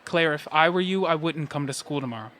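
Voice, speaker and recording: A man's voice: on the high side, brisk, a bit bright, slight background noise, lightly acted. A young man playing a troubled, lonely, sardonic teenage boy in an audio play, with a dry, morbid way of talking. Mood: sullen, taunting.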